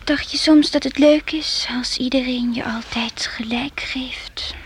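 A woman playing a spirited young queen in a radio play, imperious yet affectionate, with a breathy voice. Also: sits high, steady tone, measured pace, a little hiss or hum. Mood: intimate, confiding.

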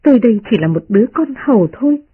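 A woman voicing a gentle young servant girl who speaks politely and coaxingly. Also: on the high side, lively intonation, fast, husky, no room sound, voice pushed up. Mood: pleading. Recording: muffled radio broadcast.

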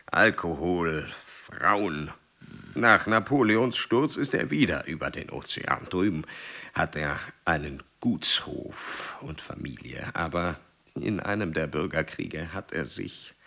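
An actor playing an aged, celebrated explorer baron in a German radio play, pompous and oratorical. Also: male voice, deep voice, gravelly, sharp tone, exaggerated voice. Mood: grave, subdued.

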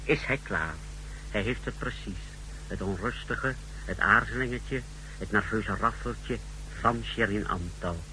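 Very sharp-voiced secret service aide. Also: male voice, lowish voice, a little slow, slight background noise, low-quality recording. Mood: satisfied. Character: keen, self-satisfied male secret service aide. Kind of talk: 1960s Dutch radio drama acting. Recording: humming old 1960s radio recording.

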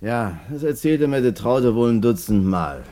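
An actor playing a chatty, sentimental middle-aged hairdresser in a radio play, rambling and colloquial, full of excuses for himself. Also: male voice, mid-range voice, animated, normal speed, dark tone, close to an everyday voice. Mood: wistful, deferential. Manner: rambling, hesitant, colloquial storytelling. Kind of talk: German radio play acting.

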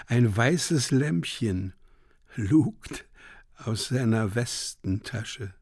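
An actor playing a solemn narrator who reads dreamlike stage directions in a slow, deliberate, measured way for a literary radio drama. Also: male voice, medium pitch, animated, a little mellow, breathy edge, no room sound, clean studio sound, heavily acted. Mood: solemn, dreamy.

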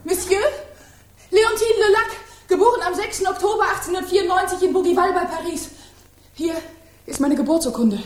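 An actress playing a composed, gentle young Frenchwoman in service, in a German radio crime play. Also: very high-pitched, brisk. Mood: polite, composed. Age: young adult.

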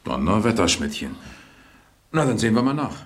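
A man's voice: fairly low pitch, very animated, brisk, breathy edge. A man playing a dry, commanding police captain in a German crime radio play, terse and businesslike. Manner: terse, businesslike, gives orders.

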